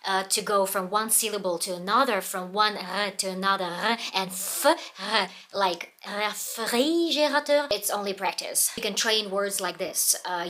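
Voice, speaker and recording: Russian-accented lady explaining pronunciation tips, bright voice, stops to search for words. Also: medium pitch. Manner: earnest, pauses to search for words. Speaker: language learning vlogger. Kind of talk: casual how-to talk.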